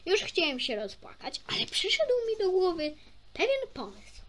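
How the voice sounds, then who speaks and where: A young woman with a high voice reads a children's story aloud. Her intonation moves a lot and her delivery is informal. She stumbles a little and slips into chatty asides. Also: wildly swooping pitch, moderate pace, a bit bright. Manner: informal, stumbles over words and self-corrects. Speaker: amateur storybook reader.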